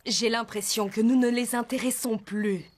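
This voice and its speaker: A woman's voice: on the high side, steady tone, normal speed, natural voice. A woman voicing a jealous, sulky talking horse in a French cartoon dub.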